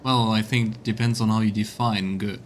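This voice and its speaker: A man's voice: fairly low pitch, steady tone, moderate pace, a little hiss or hum, lightly acted. A man voicing an earnest, principled adventuring bard in role-played video game dialogue, reasoning firmly.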